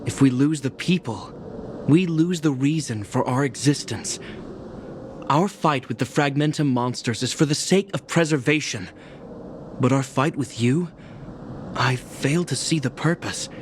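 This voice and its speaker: An actor playing a stern, duty-bound young guard captain in a video game, speaking in a formal, commanding, solemn military way. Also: male voice, medium pitch, measured pace, noisy background, natural voice. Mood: solemn, resolute. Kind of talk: dramatic video game voice acting.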